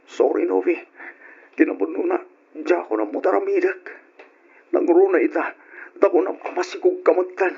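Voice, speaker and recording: A muffled old radio recording of a guilty young male lover with an Ilocano accent. His fairly high voice halts and pleads, evasive and sorry in radio-melodrama style. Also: steady tone, moderate pace, creaky, pauses often, husky, heavily acted. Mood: pleading.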